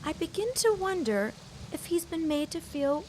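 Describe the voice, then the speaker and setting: An actress playing a proud, well-off widow who speaks formally and indignantly in a period audio drama. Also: female voice, very high-pitched, sing-song, normal speed, background noise. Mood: aggrieved. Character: proud well-off young widow, haughty and exasperated with mother.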